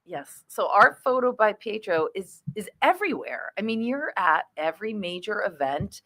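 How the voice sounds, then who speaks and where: A woman's voice: medium pitch, very animated, normal speed, a bit bright. A woman hosting a casual interview show, talking in a chatty, enthusiastic way. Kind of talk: casual chat.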